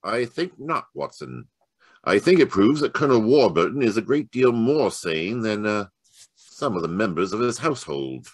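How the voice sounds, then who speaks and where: A man playing a shrewd, courteous gentleman detective with measured formality, in old-time radio mystery style. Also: fairly low pitch, expressive, slightly rough, no echo. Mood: shrewd, controlled.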